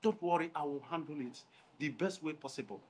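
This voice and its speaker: A man playing a soft-spoken pleading chief. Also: a little high, animated, brisk. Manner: soft, pleading, repeats reassurances. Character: married man soothing his angry lover. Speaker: chief, mother's lover.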